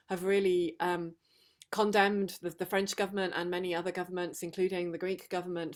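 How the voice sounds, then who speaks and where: A hoarse, somewhat croaky middle-aged woman talks on a video call. Her voice sits a bit low, and she speaks earnestly and reflectively, with frequent ums and restarts. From England, Sheffield. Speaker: guest speaker.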